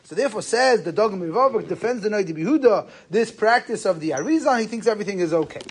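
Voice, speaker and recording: A man's voice: high voice, animated, quick pace, slightly rough. A man giving an informal religious lesson, posing questions and answering them, with Hebrew terms mixed into his speech. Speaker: rabbi giving a lecture.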